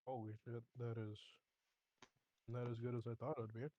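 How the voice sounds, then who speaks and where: A man's voice: medium pitch, little pitch movement, moderate pace, noisy background. A man playing a tabletop game, chatting casually in a blunt, sweary way. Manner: profane, blunt. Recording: slightly muffled dry call audio.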